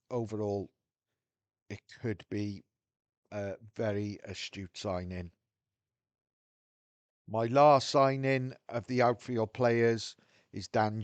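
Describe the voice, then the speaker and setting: A man's voice: fairly low pitch, no echo. A man with a Welsh accent talking frankly to his viewers, thinking aloud as he goes. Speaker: video host. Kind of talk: casual talk to camera.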